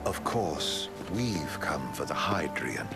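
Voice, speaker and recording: Smug, theatrical villain with swooping pitch. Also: male voice, expressive, takes it slowly, heavily acted. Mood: mocking. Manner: drawling, theatrical, mock-courteous. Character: smug, theatrical male imperial chancellor, slyly mocking schemer. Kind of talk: video game cutscene acting. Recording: loud orchestral music behind the voice.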